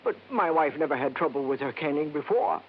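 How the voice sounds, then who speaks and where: A man's voice: a little high, expressive, fairly quick. An actor playing a wizened, folksy, insistent old American man in a wheelchair, in 1950s radio drama style, with clipped, hesitant phrasing. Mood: grave, troubled. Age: older. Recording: dull, noisy old radio recording.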